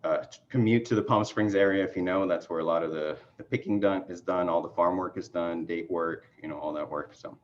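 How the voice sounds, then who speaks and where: A middle-aged man from Mexico, whose was not English, giving a halting, filler-heavy self-introduction over a video call. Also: deep voice, steady tone, highs cut off, a little hiss or hum. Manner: rambling, halting with many fillers and restarts. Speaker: party chair candidate. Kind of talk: casual conversational self-introduction.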